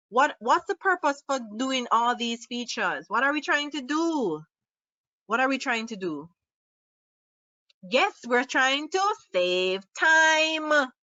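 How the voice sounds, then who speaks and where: A woman with a Jamaican accent leads a live online tutorial, upbeat and full of questions for her viewers. Her voice is bright and on the high side. It comes through a laptop's built-in mic, close and a little muffled. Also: no echo. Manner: upbeat, asks the audience questions. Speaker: online workshop presenter. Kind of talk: live screen-share tutorial.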